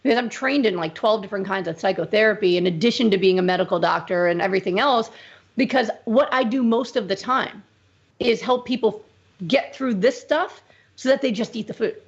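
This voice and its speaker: A woman doctor being interviewed, talking in an emphatic, animated way. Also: medium pitch, fairly quick, lo-fi sound. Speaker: medical doctor, guest. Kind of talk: conversational interview.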